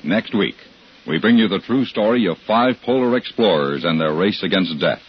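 A man's voice: deep voice, animated, moderate pace. A man announcing formally from a script in the style of 1950s radio drama credits. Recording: muffled old broadcast under loud music.

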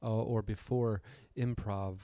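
Guy talking casually, mellow and a bit raspy, rambles with self-deprecating asides, dry close sound. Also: on the low side, steady tone, takes it slowly, dry recording. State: slightly raspy from singing. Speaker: host giving a talk on meditation. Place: hotel room.

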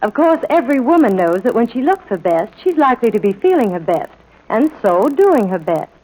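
An actress plays a brisk female factory beauty counselor in a 1940s radio ad. The delivery is scripted and practical, with lively pitch movement, on an old broadcast recording. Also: poor audio. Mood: brisk, confident.

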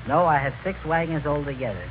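A man's voice: a little high, quick pace. A man as a guest on an old radio show, giving plain-spoken scripted answers in an interview. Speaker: radio show guest, lunch wagon operator. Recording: tinny, noisy vintage radio broadcast.

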